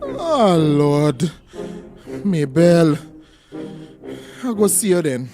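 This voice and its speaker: A man's voice: fairly high pitch, wildly swooping pitch, very slow, dark tone, full character voice. A man acting in a radio play as a shy, flustered young man with a Trinidadian accent and a hesitant, stammering way of talking. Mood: uneasy, reluctant. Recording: radio play with faint music behind.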